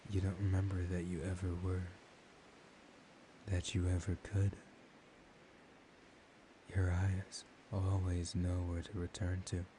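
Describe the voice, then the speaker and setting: A man acts out a seductive male vampire with a low, dark voice that stays level and controlled. He is slow, dominant and teasing, often sinking into a whisper as in an ASMR roleplay. A steady hiss sits behind the voice. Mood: possessive, coaxing. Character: dominant, seductive male vampire hypnotizing his captive lover. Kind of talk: soft-spoken ASMR roleplay.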